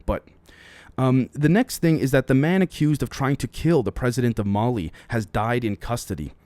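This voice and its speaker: A mid-pitched man talks his way through the day's headlines in a loose, conversational style, weighing in with his own opinions and speculation. He keeps turning to address his listeners directly. The recording is close and dry, with little room sound. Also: moderate pace, darkish tone, dry recording. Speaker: podcast host. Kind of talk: solo news commentary podcast.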